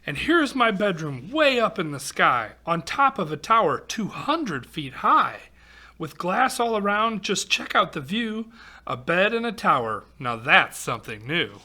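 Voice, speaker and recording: A man's voice: sits high, sing-song, unhurried, pushed and bright. A man reading a rhyming picture book aloud to children, expressively, with exclamations and chatty asides. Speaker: volunteer storybook reader for children.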